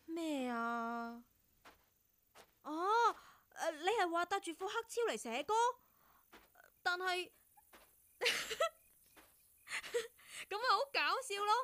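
Woman playing a high-pitched, chirpy young intern. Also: very slow, long pauses, full character voice. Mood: eager, teasing. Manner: excitable, teasing, exclamatory. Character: curious, chirpy young female radio intern. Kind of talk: Cantonese radio drama acting.

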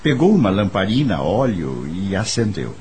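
A man's voice: deep voice, big pitch swings, normal speed, mellow, slight background noise. A man with a Brazilian accent narrating a radio drama as a scripted storyteller.

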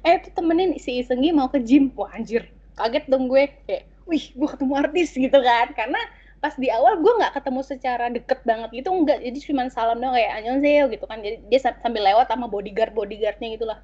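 A woman tells a story from her interpreting days in lively, slangy casual chat. Her voice sits a little high and carries a husky edge. The recording sounds dull and lo-fi. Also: fast. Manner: animated, slangy, many fillers and restarts.

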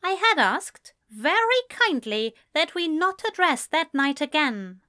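A woman's voice: very high-pitched, very animated, slow, bright, forceful tone, voice pushed up, heavily acted. A woman voicing an earnest, prim man in an audiobook story, with formal, precise phrasing and a firm, exasperated manner. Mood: strained patience.

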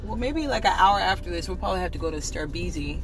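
A hoarse young woman chatting playfully in a parked car. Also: on the low side, lively intonation, fast, a bit bright, faint music behind, rough recording. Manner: playful, laughing, churchy slang. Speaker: vlog co-host. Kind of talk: casual chat in a car. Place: parked car in a drive-thru line.

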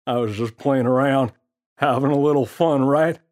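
A man's voice: mid-range voice, normal speed, a little mellow, pitched above the performer's own voice, natural voice. A man voicing a brash, cocky male talking gorilla who brags and jokes in a slangy way. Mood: cocky, teasing. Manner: slangy, boastful, sly jokester.

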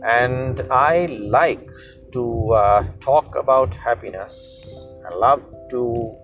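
A man from Sri Lanka, a Sinhala speaker, hosting a casual solo podcast while he drives, pausing often and filling gaps with "uh". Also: medium pitch, fairly bright tone. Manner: halting, many pauses and fillers. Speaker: podcast host. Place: in a moving car. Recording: dull lo-fi car recording with music.

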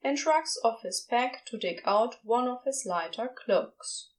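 A woman's voice: on the high side, lively intonation, unhurried. A woman reading scripted fiction as the narrator of an audio story.

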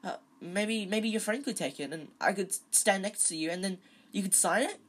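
A woman's voice: fairly low pitch, lively intonation, a little fast, husky. A woman voicing an eager, starstruck small schoolboy who babbles and stammers breathlessly in a storybook reading. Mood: excited, imploring.

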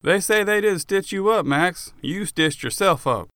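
Casting: male narrator as an angry, blunt male cop in an audiobook drama, pitched up, sharp, emphatic and demanding. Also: expressive, measured pace, clean tone, no echo, studio quality. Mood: agitated, insistent. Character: furious, exasperated male cop friend, bluntly confrontational.

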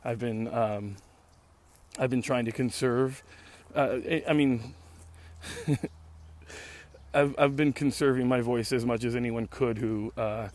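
Man, late 30s, hoarse, strained voice, sardonic, rambling, casual podcast talk outdoors. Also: mid-range voice, fairly even intonation, takes it slowly, pauses often, a little mellow. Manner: rambling, sardonic, swears freely. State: hoarse, losing his voice. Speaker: podcast host. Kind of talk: casual solo podcast monologue. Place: outdoors in a public park.

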